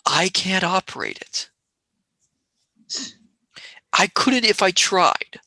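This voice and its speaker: A woman's voice: fairly low pitch, very animated, takes it slowly, sharp tone, no echo, heavily acted. A performer playing a wry, sardonic young male space traveller who turns sarcastic and wheedling in a radio play.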